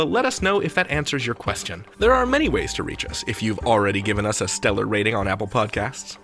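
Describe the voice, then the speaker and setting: Bright, animated male podcast host. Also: medium pitch. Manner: playful, rhetorical, conversational. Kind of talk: chatty, comic podcast presenting.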